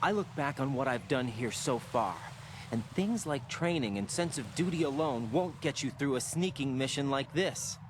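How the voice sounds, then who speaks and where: A man's voice: mid-range voice, lively intonation, normal speed, natural voice. An actor playing an earnest young male soldier in video game dialogue, searching for words as he questions his orders. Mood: earnest, resolute. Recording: noisy game audio with vehicle-like ambience.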